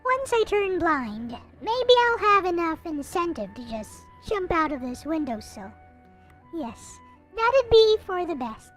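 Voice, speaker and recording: A high, swooping voice from a woman acting a young visual novel narrator who has given up on life. The delivery is brooding, bitter and self-pitying, theatrical in its melancholy. Music is clearly present in the recording. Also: sing-song, measured pace, fairly bright tone, exaggerated voice. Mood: bleak, resolved. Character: gloomy, bitter, hopeless young person going blind, self-pitying narrator. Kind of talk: dramatic reading of a visual novel.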